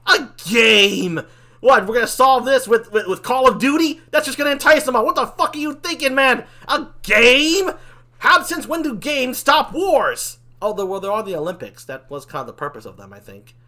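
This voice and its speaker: Casting: man as chummy, panicky comic demon, bright forceful voice, big swooping pitch, rambling and exclamatory. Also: very animated, fast talker, few pauses. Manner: theatrical, rambling, exclamatory, swearing. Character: excitable male demon, donut-loving, foul-mouthed, panicky, chummy. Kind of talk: improvised comic role-play.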